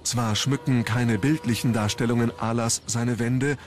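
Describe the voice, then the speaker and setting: A man's voice: medium pitch, steady tone, normal speed. A man reading scripted narration for a documentary. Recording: music under the narration. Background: background music.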